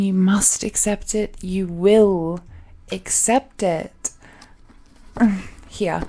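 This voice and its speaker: Slow, tender dragon-girl fiancée, played by a woman. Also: medium pitch, dark tone. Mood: teasing, affectionate. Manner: breathy, hesitant, giggly, tender. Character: affectionate, possessive young dragon-blooded woman, giddy bride-to-be. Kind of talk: intimate romantic audio roleplay.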